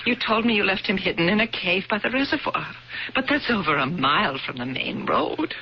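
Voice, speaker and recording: An actress playing an anguished, intense wife bent on revenge, emotional and full of dramatic pauses, in a 1970s radio mystery. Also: female voice, medium pitch, lively intonation, natural voice. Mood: anxious, bewildered. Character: anguished, intense wife who plotted long-awaited revenge. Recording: dull lo-fi old radio recording, faint noise.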